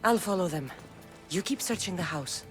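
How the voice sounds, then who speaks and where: A woman's voice: fairly low pitch, lively intonation, normal speed, close to an everyday voice. A woman voicing a determined young female mercenary of ancient Greece in a video game, speaking firmly and bluntly. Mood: resolute. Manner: firm, blunt. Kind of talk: dramatic video game dialogue. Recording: game soundtrack music behind the voice. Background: music.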